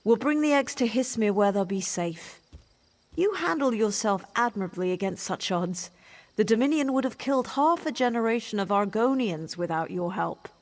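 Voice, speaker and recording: A woman's voice: mid-range voice, expressive, moderate pace, natural voice. An actress playing a stern female military officer in a fantasy video game, formal and authoritative. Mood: stern, businesslike.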